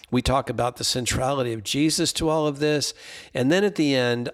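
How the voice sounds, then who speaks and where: Male podcast host, a bishop, asks rambling questions in a chatty way, mid-pitched voice, remote call. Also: fairly bright tone. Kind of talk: conversational interview.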